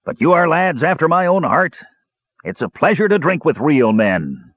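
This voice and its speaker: A man voicing a boastful, jovial, hard-drinking bearded dwarf in a fantasy audiobook, with loud roaring speech. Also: a little high, big pitch swings, normal speed, dry recording, phone-quality sound, voice pushed up. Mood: admiring, hearty.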